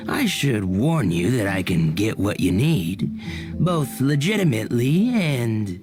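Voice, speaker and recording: A shady game merchant with a conspiratorial manner. He talks slowly, swinging widely in pitch, over loud background music. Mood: secretive, sly. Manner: conspiratorial, shifty. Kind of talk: English video game voice acting.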